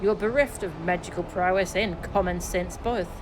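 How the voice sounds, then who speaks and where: A woman voicing a cold, condescending sorcerer father from a fantasy game, in archaic, measured speech. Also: fairly low pitch, background noise, close to an everyday voice. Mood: cold, contemptuous. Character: haughty, scornful male sorcerer father, cold and taunting. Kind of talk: theatrical read-aloud of fantasy game dialogue. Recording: music behind the voice.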